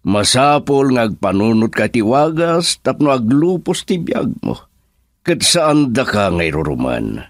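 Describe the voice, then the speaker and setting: A man's voice: medium pitch, sing-song, unhurried, no room sound, clean studio sound. An actor playing an older, encouraging businessman boss in an Ilocano radio drama. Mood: persuasive.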